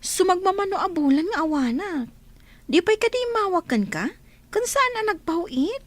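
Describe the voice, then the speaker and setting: A woman's voice: extremely high pitch, big pitch swings, normal speed, no echo. A woman playing an inquisitive friend from the city in an audio drama, with a Filipino accent. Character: inquisitive city friend recruiting for a restaurant job. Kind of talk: Ilocano audio drama acting.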